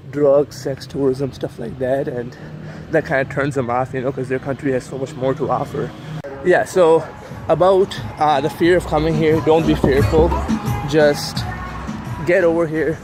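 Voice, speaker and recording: A young man whose first language is Urdu, talking casually in a rambling way with lots of fillers while walking and filming himself on a city street. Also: mid-range voice. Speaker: vlogger. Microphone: handheld camera while walking. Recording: street traffic noise behind.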